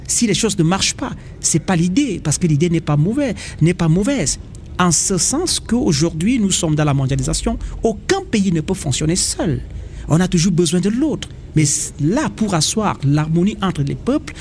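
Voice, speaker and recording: Cameroonian man guesting on live radio, earnest and halting, slightly high voice, faint noise behind. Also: darkish tone. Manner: hesitant, earnest, many pauses. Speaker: association representative. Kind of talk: radio interview. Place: outdoor association fair.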